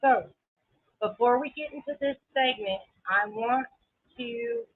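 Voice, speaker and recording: A woman's voice: a little high, a little slow. A woman talking casually on a livestream in a brash, dramatic, sweary way, often laughing. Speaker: livestream creator. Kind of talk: casual livestream commentary to viewers. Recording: muffled, narrow lo-fi sound.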